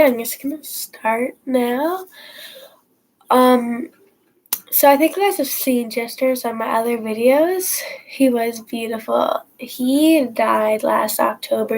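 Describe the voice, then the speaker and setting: Stuffy-nosed teen girl rambling slowly. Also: a little high, a little mellow, a little hiss or hum, rough recording. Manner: rambling, many restarts and fillers. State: stuffy from a cold. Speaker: horse vlogger. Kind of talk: casual storytime to camera. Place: at home, indoors.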